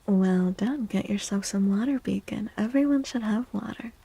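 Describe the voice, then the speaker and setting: A woman reading tarot in a soft-spoken ASMR style, gentle and deliberate, often close to a whisper, with pauses and repeated phrases. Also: medium pitch, vocal fry, husky. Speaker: streamer, tarot reader.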